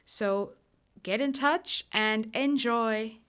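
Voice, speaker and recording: A woman's voice: a little high, drawn-out words, over music. A young woman hosting a podcast, introducing an episode in an earnest, chatty way with small fillers and restarts. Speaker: podcast host. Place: Melbourne.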